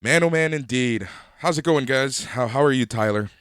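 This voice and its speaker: A man's voice: mid-range voice, normal speed, a bit bright, clear, resonant tone, dry recording, clean studio sound. A man from Canada hosting a casual podcast, chatty and upbeat, laughing easily. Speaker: podcast host.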